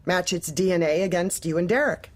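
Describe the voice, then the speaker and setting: A woman's voice: lowish voice, a little fast, natural voice. A woman playing a manipulative, officious corporate manager in a darkly comic audio drama, speaking with bland politeness and veiled menace. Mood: politely insistent. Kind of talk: audio drama acting, dark comedy.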